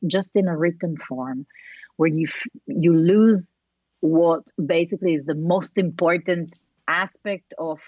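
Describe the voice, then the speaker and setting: A woman's voice: fairly low pitch, unhurried, darkish tone, no echo, dull audio. A woman from Italy with an Italian accent, a podcast guest chatting thoughtfully with many fillers and restarts. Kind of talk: casual interview chat.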